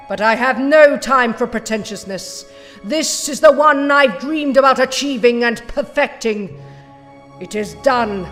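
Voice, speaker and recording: A woman playing a cold, condescending mad scientist villain in an audio drama, with a haughty, measured manner and false sweetness, in a reverberant space. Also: fairly high pitch, close to an everyday voice. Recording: long reverberant hall echo.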